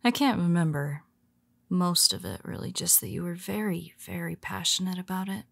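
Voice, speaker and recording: A woman playing a weary, teasing female superhero who speaks softly to her nemesis, often dropping to a whisper. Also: lowish voice, lively intonation, normal speed, gravelly, no echo. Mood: wry teasing. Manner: teasing, soft-spoken, slips into whispers. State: tired. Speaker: audio roleplay performer. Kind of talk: intimate audio roleplay.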